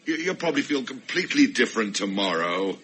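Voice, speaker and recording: A man's voice: mid-range voice, sing-song, fast, a bit bright, slight background noise. An actor playing a flustered, self-absorbed man in a radio play, stammering and hesitant as a reluctant rescuer. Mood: pleading, awkward. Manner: stammering, hesitant, nervously pleading. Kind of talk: radio play acting with inner narration.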